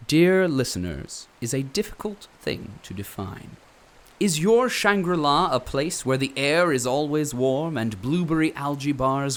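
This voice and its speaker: A man playing a pompous, company-loyal radio drama narrator with theatrical, swooping delivery. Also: on the high side.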